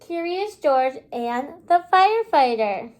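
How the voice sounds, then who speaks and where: Woman, kindergarten teacher. Slow, animated read-aloud delivery, high voice, prompting young listeners. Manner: expressive, prompting listeners with questions and pauses. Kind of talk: children's read-aloud lesson with questions.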